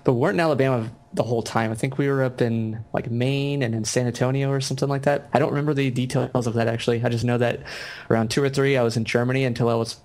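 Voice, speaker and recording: A man's voice: medium pitch, steady tone, fast, hardly any pauses, darkish tone. A young American man, a video game designer, chatting casually in an interview with many ums and restarts. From United States.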